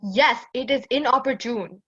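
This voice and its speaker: Woman playing a high, sharp, sardonic space crewmate. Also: unhurried, breathy edge, muffled recording. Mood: sarcastic. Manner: sarcastic, exasperated. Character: sardonic, impatient female spaceship pilot. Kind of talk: sci-fi audio drama acting.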